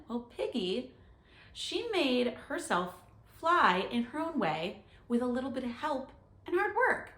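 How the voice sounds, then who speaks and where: A woman's voice: sits high, a bit bright, echoey room. A woman hosting a read-aloud for children, speaking to them directly and instructively. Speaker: storytime host and reader.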